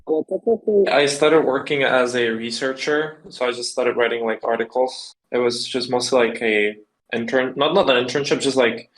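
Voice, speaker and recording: A man's voice: medium pitch, normal speed, fairly bright tone, rough recording. A young man from the Czech Republic, answering interview questions in a loose, rambling way with lots of fillers. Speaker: guest.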